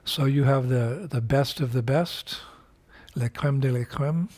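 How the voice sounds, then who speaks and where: Husky-voiced older man giving a reflective message. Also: medium pitch, darkish tone. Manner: unhurried, reflective, with frequent fillers and restarts. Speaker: spiritual teacher. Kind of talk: recorded video message.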